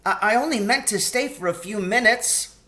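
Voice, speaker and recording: A man's voice: sits high, normal speed, bright, forceful tone, pitched above the performer's own voice. A man voicing a polite, anxious, caring young girl in a storybook, with an earnest, fretful manner. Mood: polite, apologetic.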